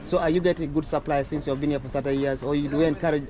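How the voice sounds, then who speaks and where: A man from northern Uganda strolls through an outdoor market, narrating his vlog in a loose, chatty way with many fillers and restarts. His voice sits a little high. Street and traffic noise stays clear behind him. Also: steady tone, fast, dark tone, low-quality recording. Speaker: vlogger. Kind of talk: casual walking street vlog.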